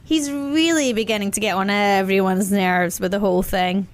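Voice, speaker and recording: A woman's voice: medium pitch, fairly even intonation, moderate pace, natural voice. A woman voicing a timid little rabbit in a children's story, with a hesitant, childlike manner. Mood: pleading.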